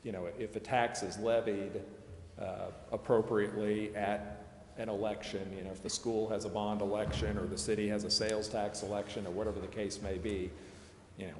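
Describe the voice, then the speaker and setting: Low-voiced American man in an echoey chamber. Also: little pitch movement, normal speed, darkish tone. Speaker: advisor explaining city tax district plans. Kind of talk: explaining at a public council meeting. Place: council chambers. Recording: echoey council chamber, background hiss.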